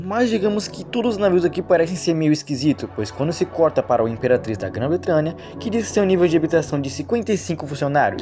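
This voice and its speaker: Fast-talking Brazilian man, music behind. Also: a little high, dark tone. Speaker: narrator of a horror video series. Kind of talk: informal storytelling recap with commentary. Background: background music.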